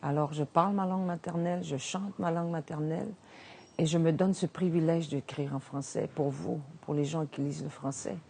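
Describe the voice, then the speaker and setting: Woman poet, Innu native speaker, low voice, slow gentle delivery. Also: steady tone, vocal fry, a little hiss or hum. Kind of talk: reading poetry and speaking in an interview.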